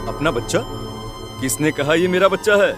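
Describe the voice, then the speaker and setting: A man's voice: a little high, animated, moderate pace, a bit bright, soft background music. A man playing a possessed village farmer in a horror cartoon story, sinister, scornful and theatrically emphatic. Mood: menacing rage.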